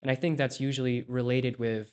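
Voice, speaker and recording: A man's voice: medium pitch, flat delivery, a little fast, darkish tone, clean tone. Reflective young man from Poland. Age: 20s. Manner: reflective, thinking aloud with many restarts. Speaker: podcast co-host, interviewee. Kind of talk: casual podcast conversation.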